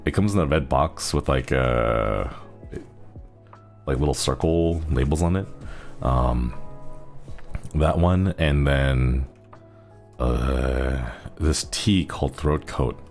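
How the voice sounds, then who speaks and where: A man chatting casually on a live stream, his voice airy and breathy today, rambling with frequent hesitations. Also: extremely low pitch, slow pace, long pauses, darkish tone, soft background music. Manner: rambling, hesitant with many fillers.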